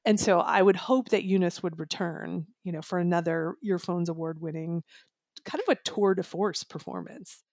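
A woman's voice: on the low side, fairly even intonation, normal speed, darkish tone, no room sound. A woman in a casual podcast discussion, talking in a chatty, informal way as a book reviewer.

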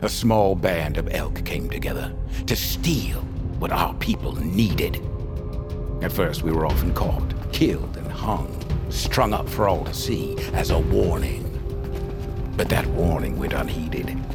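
An actor playing a stern, proud leader of outlaw thieves, speaking gravely and deliberately in an audio drama. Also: male voice, low-pitched, animated, loud music behind the voice, heavily acted. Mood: solemn storytelling. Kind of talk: dramatic audio fiction storytelling.

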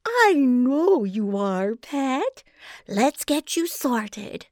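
Woman putting on a syrupy, high giantess. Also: sing-song, darkish tone, dry recording, studio quality, heavily acted. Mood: soothing. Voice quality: syrupy sweet voice. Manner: cooing, drawn-out and wheedling. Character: huge ugly female giant, sickly sweet, menacing.

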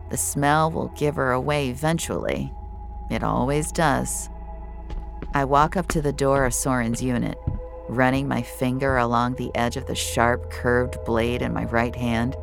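A woman playing a cold, gloating serial killer who narrates an audio drama in a measured, menacing way. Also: very deep voice, creaky, full character voice. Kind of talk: first-person audio fiction narration. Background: dramatic score.

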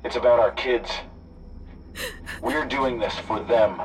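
A man playing a calm, calculating antagonist in an audio drama, speaking in a measured, smug, self-righteous way. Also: medium pitch, very noisy, close to an everyday voice. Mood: regretful, insistent. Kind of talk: audio fiction drama acting.

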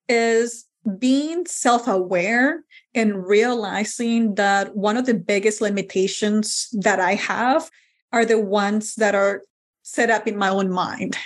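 A woman born in Colombia speaks as a podcast guest. She talks at a middle pitch, thinking aloud and leaning on "like" as she goes. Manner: reflective, frequent "like" fillers. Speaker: guest, engineer and real estate investor. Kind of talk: podcast interview answers.

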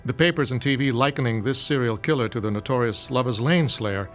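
A man's voice: medium pitch, brisk, fairly bright tone, soft background music, lightly acted. Actor as articulate man explaining grim crimes. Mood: matter-of-fact. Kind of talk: scripted audio drama dialogue.

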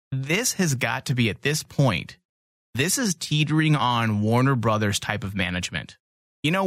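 A man's voice: medium pitch, fairly bright tone, no echo, clean studio sound. A man hosting a casual, opinionated podcast, talking bluntly and emphatically with some swearing. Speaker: podcast host.

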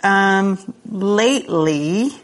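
A woman in her forties being interviewed, relaxed and self-deprecating, laughing easily. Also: medium pitch, drawn-out words. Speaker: guest. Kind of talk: casual conversational interview.

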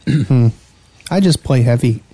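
Man, very mellow tone, average pitch, casual podcast co-host, hesitant rambling, dry teasing. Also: animated, a little slow, husky, no room sound, low-quality recording. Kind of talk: casual gaming podcast chat.

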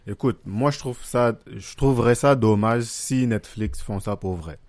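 A man with a Québécois French accent, co-hosting a podcast in animated, opinionated casual chat. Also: mid-range voice, measured pace, no room sound. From Quebec. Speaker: podcast co-host.

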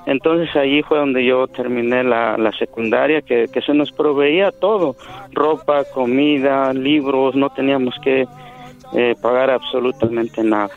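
A man's voice: medium pitch, fairly even intonation, unhurried, soft background music. A middle-aged man from Mexico, a native Zapotec speaker, telling his life story as a radio guest, earnest and hesitant, often repeating words. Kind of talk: radio phone interview. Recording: phone line over radio.

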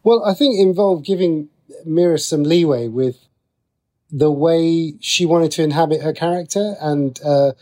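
Man, relaxed soft-edged tone, slightly high pitch, halting, rephrasing, casual interview. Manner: halting, thinking aloud, often rephrasing. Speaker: interview guest, TV and radio writer.

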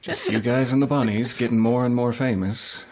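A man's voice: medium pitch, steady tone, normal speed, darkish tone. A man from a game cast in casual chat. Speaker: cast member.

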